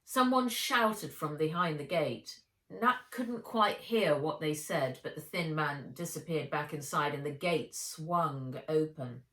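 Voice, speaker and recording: A woman author reading her children's adventure book aloud in an expressive storytelling style. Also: deep voice, slow pace, distant mic. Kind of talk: expressive children's audiobook narration. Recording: roomy, echoey room sound.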